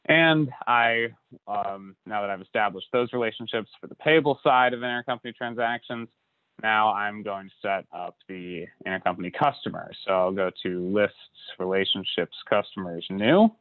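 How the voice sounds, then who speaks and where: Man, software walkthrough, lowish voice, dry phone-like sound, fillers. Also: normal speed, dry recording. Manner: relaxed, many fillers like uh and you know. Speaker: software instructor. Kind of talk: screen-recorded software walkthrough. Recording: dry tinny lo-fi audio.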